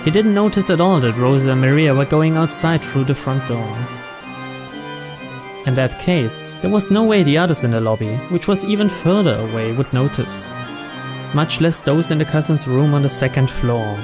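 A man's voice: fairly high pitch, lively intonation, normal speed. Man narrating a story over music. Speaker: narrator, playthrough host.

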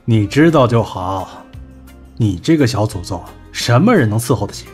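An actor in a Chinese audio drama playing a sharp-tongued entertainment boss, blunt, scolding and colloquial. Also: male voice, on the low side, animated, normal speed, soft background music, natural voice. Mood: exasperated.

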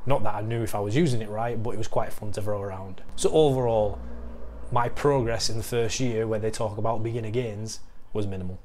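A man's voice: lowish voice, steady tone, fairly quick, a little mellow, a little hiss or hum. A young man with a Northern English accent, a fitness coach talking candidly to camera about training. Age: young adult. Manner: candid, self-deprecating, explanatory. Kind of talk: casual talk to camera. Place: living room at home. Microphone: lapel mic.

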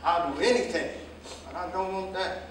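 A man's voice: high-pitched, moderate pace, a bit bright. Echoey actor as stubborn young American man. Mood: defensive, stubborn. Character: stubborn young man of twenty-four, hard-working jitney driver. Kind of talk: staged play reading, dialogue acting. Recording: distant mic, echoey hall, faint noise.

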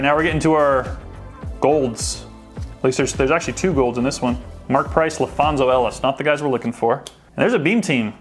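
A man hosting a sports card pack opening, chatting casually and thinking aloud as he sorts through the cards. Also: mid-range voice. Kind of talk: casual unboxing commentary.

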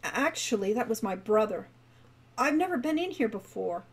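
A woman's voice: fairly high pitch, sing-song, moderate pace, a little hiss or hum. A woman voicing a polite, earnest young male adventurer who speaks hesitantly.

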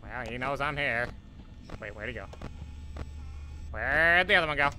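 Guy doing an anxious polite cartoon teacher playing a horror game, really sharp bright voice, swoops up and down. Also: mid-range voice, lively intonation, normal speed, a little hiss or hum, low-quality recording. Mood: nervous, polite. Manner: nervous, exclamatory, pleading politely. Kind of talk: let's play commentary in character.

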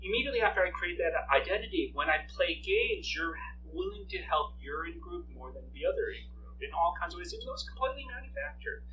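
A man's voice: high voice, quick pace, hardly any pauses. Middle-aged man, native Korean speaker, talking off the cuff to a room; somewhat distant mic, faint hum. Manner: animated, thinking aloud with many fillers, gestures while talking. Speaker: speaker leading a campus discussion. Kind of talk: conversational talk answering audience. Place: lecture room with projection screen.

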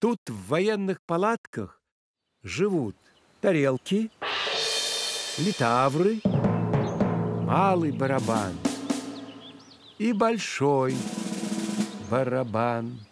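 An actor playing a courteous maestro guide, narrating an educational children's cartoon expressively. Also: male voice, medium pitch, sing-song, drawn-out words, pauses often, loud music behind the voice, heavily acted. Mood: courteous, explaining.

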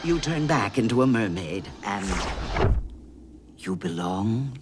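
A woman playing a scheming older sea witch in an animated film, grandiose, mock-sweet and menacing. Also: very deep voice, takes it slowly, background noise, exaggerated voice.

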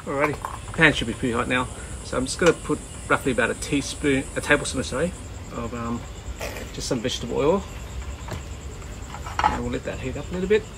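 A man in his forties talking casually while he forages and cooks outdoors, relaxed and chatty with plenty of ums, recorded on a camera mic. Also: mid-range voice, a little fast, creaky. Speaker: cooking vlogger. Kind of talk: casual cooking commentary. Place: outdoor garden kitchen and rocky bay shore. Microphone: camera mic at medium distance. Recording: outdoor camera audio with loud ambient noise.

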